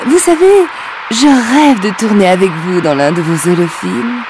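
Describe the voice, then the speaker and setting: A woman's voice: medium pitch, lively intonation, unhurried, background noise, lightly acted. A woman voicing a flirty robot pop star. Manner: sultry, coquettish. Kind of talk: French video game dub. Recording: game audio with music bed.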